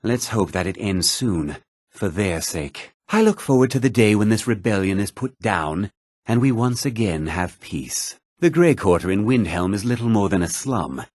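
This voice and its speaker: Husky, haughty fantasy townsman. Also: lowish voice, animated, normal speed, a little mellow, no room sound, clean studio sound. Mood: gossipy. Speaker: video game voice actor. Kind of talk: scripted fantasy game character dialogue.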